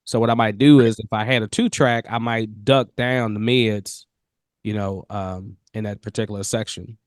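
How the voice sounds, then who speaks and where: A man with a nasal, somewhat low voice guides a student through audio mixing on a video call. He talks in an easygoing, encouraging way, often repeating words. The sound is dry and close, with no room echo. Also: fairly even intonation, moderate pace. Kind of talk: casual one-on-one tutoring on a video call.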